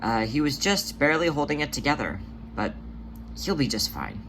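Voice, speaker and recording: Gentle cat healer, read by a narrator, lively pitch. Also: moderate pace, background noise, lightly acted. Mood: careful, hesitant. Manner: careful, hesitant, reassuring.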